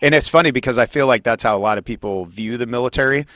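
A man's voice: mid-range voice, fast talker, fairly bright tone, dry recording, studio quality. A man hosting an interview in casual chat, with an informal, affirming way of talking. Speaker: interviewer, podcast host.

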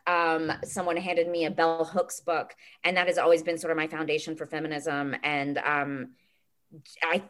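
A woman's voice: lowish voice, a bit bright. Chatty woman with a youthful manner. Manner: quick, chatty, self-described teenage way of talking. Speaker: filmmaker guest. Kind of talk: casual interview chat.

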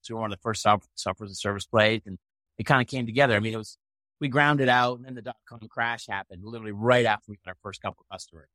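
Fast-talking middle-aged American man, lowish voice. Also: bright, forceful tone, no room sound, poor audio. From Maine, United States. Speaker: interview guest, tech entrepreneur. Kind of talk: casual conversational storytelling.

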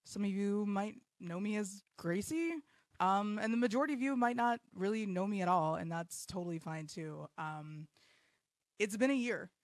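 Woman in her 30s, podcast host, blunt and self-mocking, mid-pitched, dry close sound. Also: moderate pace, no room sound. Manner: blunt, self-deprecating, swears freely. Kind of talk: casual live podcast monologue. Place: home basement.

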